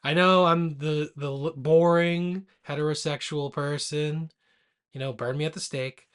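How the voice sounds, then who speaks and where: A man's voice: a little high, fairly even intonation, a little slow, smooth. A man in his late twenties co-hosting a movie podcast, chatting casually and jokingly while drinking. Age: late 20s. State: drinking alcohol. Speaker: podcast co-host.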